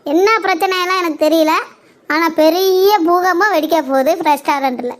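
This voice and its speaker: A woman's voice: very high-pitched, normal speed. A woman voicing a stern, sarcastic older mother-in-law in a cartoon parody, nagging and pessimistic. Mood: gloomy, scornful.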